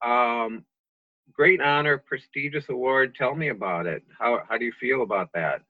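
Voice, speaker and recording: A man interviewing as a reporter, halting and often repeating his words. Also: medium pitch, dry recording. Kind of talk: interview questions. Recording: dry, dull sound.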